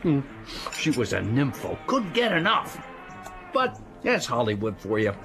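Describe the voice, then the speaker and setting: A sleazy, boastful American father in middle age for a radio drama. His mid-pitched voice swings widely as he talks in crude, leering slang. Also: huge pitch swings, measured pace, a bit bright, soft background music, exaggerated voice. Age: middle-aged.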